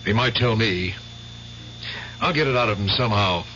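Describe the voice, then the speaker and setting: An actor playing a cautious, practical war veteran in an old-time radio drama, with a pressing, insistent manner. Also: male voice, lowish voice, moderate pace, pushed and bright, slight background noise. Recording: dull, humming old radio recording.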